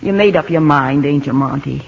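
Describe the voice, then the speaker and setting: An actress playing a stern, plain-spoken, middle-aged farm widow, folksy and dry, in a 1950s radio drama. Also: female voice, low-pitched, normal speed, creaky. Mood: skeptical, dry. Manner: folksy, dry, terse, skeptical. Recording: dull old radio broadcast recording.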